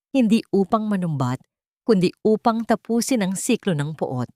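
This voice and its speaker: A woman's voice: deep voice, darkish tone, no echo, studio quality. A woman with a Filipino accent giving a dramatic scripted narration of a story.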